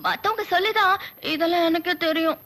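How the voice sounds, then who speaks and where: Young woman patient, shy, stammering, very high voice, film comedy. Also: a little fast, bright, forceful tone. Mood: bashful. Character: timid young woman who sleepwalks, fretting about marriage.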